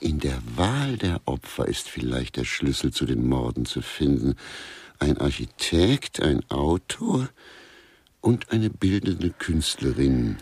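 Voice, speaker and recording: An actor playing a grumpy, disdainful elderly man in a crime radio play, grumbling pedantically and deadpan in a gravelly, husky voice. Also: extremely low pitch, takes it slowly, full character voice. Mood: pedantic brooding. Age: older. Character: Pelz, grumpy elderly male guest, disdainful of modern art. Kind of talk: German crime grotesque radio play dialogue.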